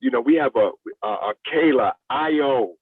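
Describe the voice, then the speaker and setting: A man being interviewed as a play director, answering in a halting way, repeating words as he searches for the next one. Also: mid-range voice, husky. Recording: roomy, thin radio-like lo-fi sound.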